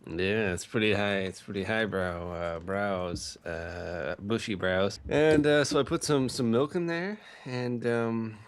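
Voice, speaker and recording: A man's voice: on the low side, unhurried, few pauses, smooth. A young man telling stories casually as a podcast co-host, rambling and swearing crudely for comedy. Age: young adult.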